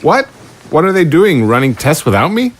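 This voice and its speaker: An indignant, principled male scientist, played by an actor in an audio drama. His pitch moves widely as he sputters incredulous, formally worded objections, stubborn and betrayed. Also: sing-song, moderate pace. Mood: irritated.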